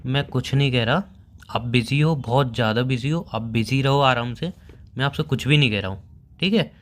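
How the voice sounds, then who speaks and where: A man's voice: fairly high pitch, fairly quick, fairly bright tone, natural voice. A young man playing a hurt, sulky boyfriend in an everyday phone-call drama, sarcastic and reproachful. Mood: accusing, frustrated.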